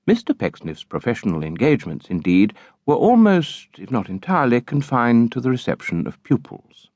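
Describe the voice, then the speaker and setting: A man narrating a literary audiobook in a measured, theatrical, expressive style. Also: mid-range voice, dry recording.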